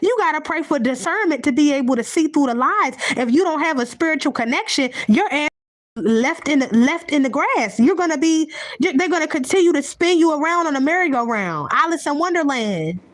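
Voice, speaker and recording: A woman's voice: high-pitched, lively intonation, brisk, no room sound, studio quality. A woman giving a heated, profane, unscripted rant to her viewers, blunt and repetitive, often restarting her sentences. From United States. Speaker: vlogger.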